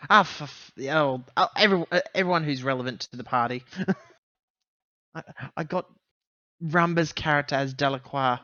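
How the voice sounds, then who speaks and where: A man's voice: a little high, moderate pace, bright, forceful tone, dry recording, muffled recording. A man chatting casually as a player in a tabletop game, talking through his moves in a wordy, roundabout way.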